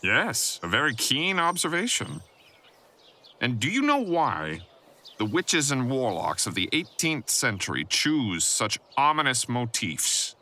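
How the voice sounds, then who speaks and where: A man's voice: medium pitch, very animated, unhurried, pushed and bright. A man voicing a prim, learned professor who lectures formally and precisely on a field trip in an audio drama. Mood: lecturing, encouraging.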